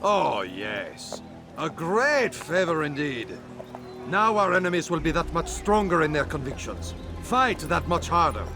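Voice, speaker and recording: A man's voice: fairly high pitch, big pitch swings, a little slow, music in the background. An actor playing a stern, sarcastic crusader king in a video game, with a regal, sardonic manner. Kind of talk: dramatic video game acting.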